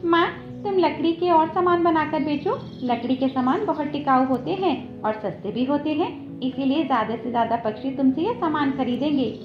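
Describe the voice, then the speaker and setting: A woman's voice: high voice, moderate pace, few pauses, close to an everyday voice. A woman voicing a young bird child in a cartoon, eager, obedient and coaxing toward mother. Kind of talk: Hindi cartoon voice acting. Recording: echoey, dull audio with background music.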